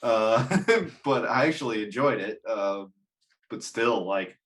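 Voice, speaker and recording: A man's voice: on the low side, slow, a bit bright. Wry, joking man hosting a casual chat. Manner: wry, joking, chuckles through his talk. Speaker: host.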